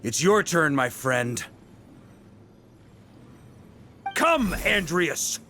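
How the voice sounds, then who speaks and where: A man's voice: fairly high pitch, big pitch swings, takes it slowly, sharp tone, slight background noise, heavily acted. A man voicing an easygoing, confident middle-aged knight grand master in a game, wry and commanding. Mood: resolute.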